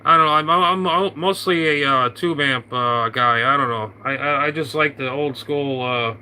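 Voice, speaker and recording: A man's voice: medium pitch, moderate pace, sharp tone, slight background noise, poor audio. A man in casual on-air radio chat, rambling with many fillers and restarts. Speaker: radio operator.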